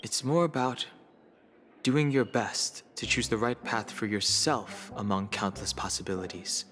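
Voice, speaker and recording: A male actor playing a calm, principled young space traveler in a video game, measured and earnest. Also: fairly low pitch.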